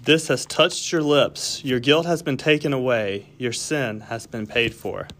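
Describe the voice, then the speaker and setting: A man reading scripted Bible story narration for a children's audio drama, in a deliberate, line-by-line way. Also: mid-range voice, fairly bright tone.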